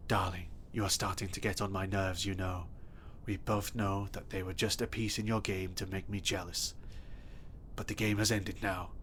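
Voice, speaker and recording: A man playing a soft-spoken menacing obsessive stalker. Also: sits low, level tone, normal speed, slight background noise. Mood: cold menace. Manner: soft, intimate and menacing, bursting into threats. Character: obsessive, possessive male stalker kidnapper, adult. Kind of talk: ASMR audio roleplay.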